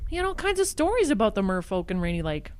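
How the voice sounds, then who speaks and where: A woman's voice: medium pitch, big pitch swings, quick pace, darkish tone, smooth, natural voice. A woman playing a breezy, teasing, unflappable best friend in an audio drama, with a dry, matter-of-fact manner. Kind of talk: naturalistic audio drama acting.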